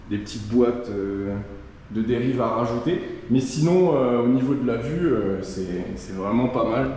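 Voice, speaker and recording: A man's voice: medium pitch, dark tone, rough recording. Casual Frenchman vlogging over background noise. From Nord-Pas-de-Calais, northern France. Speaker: travel vlogger. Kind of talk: casual travel vlog narration to camera.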